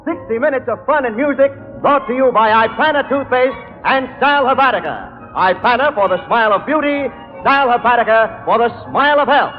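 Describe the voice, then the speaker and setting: A man announces in 1930s variety radio style. His pitch is extremely high for a man. The recording is dull, with long reverb and faint music behind. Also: fairly quick.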